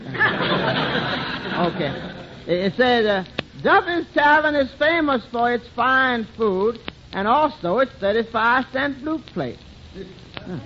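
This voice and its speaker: A very high, swooping voice for a reluctant tavern waiter in an old radio comedy. He is hesitant and skeptical, taking his time over the words, on a muffled recording. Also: lively intonation, heavily acted. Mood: amused skepticism. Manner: hesitant, drawn-out, wryly skeptical delivery. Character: wry, doubtful, reluctant male tavern waiter. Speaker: comic supporting actor in a radio comedy. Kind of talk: 1940s radio comedy acting. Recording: muffled 1940s radio recording, faint noise.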